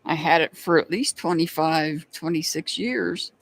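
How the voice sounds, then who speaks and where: A man with a noticeably high voice and a slight Southern accent talks casually to a live stream audience as he hosts tarot readings. He chats loosely, laughs easily and greets viewers one by one by name. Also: unhurried, creaky, fairly bright tone. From United States. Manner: chatty, laughs often, answers viewers by name.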